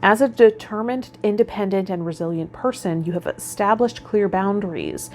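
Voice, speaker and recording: A woman's voice: medium pitch, moderate pace, a little hiss or hum. A woman hosting a spiritual vlog, talking informally and reflectively, straight to her viewers. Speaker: tarot vlogger and host. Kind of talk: casual spiritual vlog talk, partly reading card meanings.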